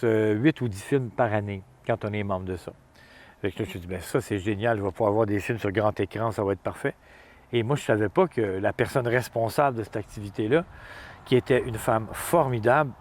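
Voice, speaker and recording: A man's voice: mid-range voice, a little mellow. Chatty man with a Montreal accent. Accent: Montreal Quebec French. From Montreal, Quebec. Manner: chatty, informal, repeats himself for emphasis. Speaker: interview guest, cinematographer. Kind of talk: casual, anecdotal interview talk. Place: outdoors in the Gaspé region.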